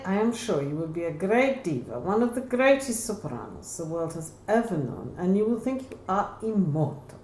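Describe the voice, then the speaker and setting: A woman's voice: fairly low pitch, a little mellow. A woman voicing a mature, caring Spanish singing teacher in a novel, advising earnestly and emphatically. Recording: roomy echo, faint background noise.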